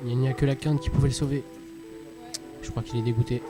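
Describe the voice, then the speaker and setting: A man's voice: mid-range voice, little pitch movement, fast talker. A man reacting to a poker tournament as a live commentator, emphatic and repeating himself. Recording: music playing over the commentary. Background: music.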